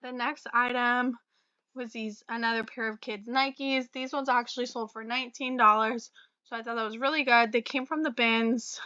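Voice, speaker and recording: A young woman vlogger, slightly raspy from a cold, chatting casually about her resale items with fillers and little laughs. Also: a little high, steady tone, moderate pace, pushed and bright, no echo, dull audio. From Florida. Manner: chatty, friendly, many fillers and small laughs. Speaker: reseller vlogger. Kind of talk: casual talk to camera about sales.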